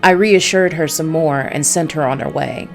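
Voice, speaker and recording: A woman reads as a jaded former policeman turned private detective in a noir game. The voice is low, with quiet music behind it. The narration is dry and brooding, told in first person. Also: moderate pace, creaky. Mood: wry, weary. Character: world-weary male ex-cop noir private detective.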